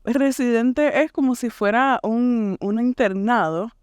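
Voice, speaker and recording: A woman's voice: fairly high pitch, takes it slowly, smooth, no room sound, clean studio sound. A young woman from Puerto Rico with a Puerto Rican accent, a podcast guest chatting casually, full of fillers and English words dropped in. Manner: chatty, uses fillers and drops English words into her Spanish. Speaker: podcast guest, church worship resident.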